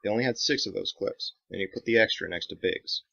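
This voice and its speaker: A man's voice: fairly low pitch, fast talker, gravelly, pushed and bright. A man reading his own dramatic action novel as scripted audiobook narration, in a slightly dull recording.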